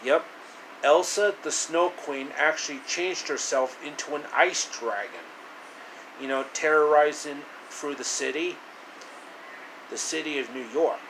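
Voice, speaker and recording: A man tells a story in a casual, halting manner, like he is making it up as he talks. His voice sits a little high for a man, and a faint air-conditioning noise runs behind him. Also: a bit bright, poor audio. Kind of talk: casual retelling of a fairy-tale parody.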